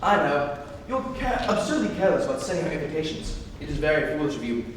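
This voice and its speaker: A teenage male student playing a flippant, teasing young Victorian gentleman in a dramatic stage reading, with an arch, theatrical manner. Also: a little high, sing-song, quick pace. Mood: smug. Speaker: student actor. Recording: distant, very echoey hall, noisy.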